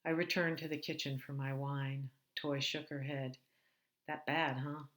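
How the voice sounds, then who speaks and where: A woman's voice: rumbling low, little pitch movement, normal speed, fairly bright tone. A woman author reading her own novel aloud in first person, with a dry, wry tone. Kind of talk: first-person audiobook narration.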